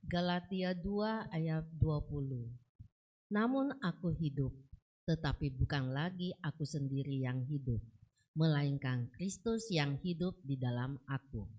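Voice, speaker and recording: A woman reading a Bible verse aloud slowly and carefully. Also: deep voice, warm tone, poor audio. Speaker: scripture reader.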